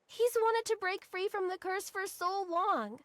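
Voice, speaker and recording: Very high-voiced sweet little girl. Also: unhurried, no room sound. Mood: tender, determined. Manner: earnest, tender, expressive. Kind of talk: video game cutscene acting.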